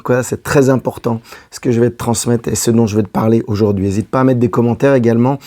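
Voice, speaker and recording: Hoarse male love coach, mellow-toned, chatty direct-to-camera advice. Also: medium pitch. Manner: addresses the listener directly, repeating key phrases. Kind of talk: casual advice monologue to camera.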